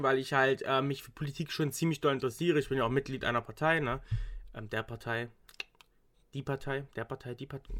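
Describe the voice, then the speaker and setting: A man's voice: medium pitch, a bit bright. Young male student, loose rambling talker, everyday conversational register. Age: young adult. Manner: rambling, frequent restarts and English slang. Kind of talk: casual chat.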